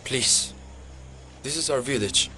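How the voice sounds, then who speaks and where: A man's voice: medium pitch, slow pace, background noise, close to an everyday voice. A man playing an earnest, pleading Cuban villager with a Cuban accent and an urgent, polite manner in an audio drama. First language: Spanish. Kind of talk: immersive audio drama acting.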